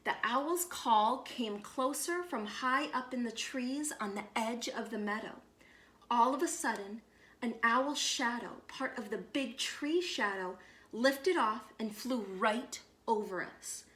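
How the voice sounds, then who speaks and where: Female storyteller for children's read-aloud: unhurried, expressive, fairly high voice, echoing room. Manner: measured, expressive storytelling with deliberate pauses.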